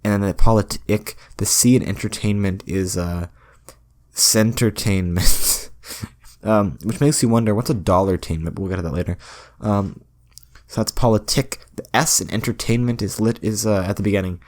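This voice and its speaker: A young man with a slightly low-pitched voice rambles through a solo podcast. He stumbles and restarts his sentences often and delivers his jokes with a dry, self-mocking deadpan. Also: normal speed. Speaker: podcast host.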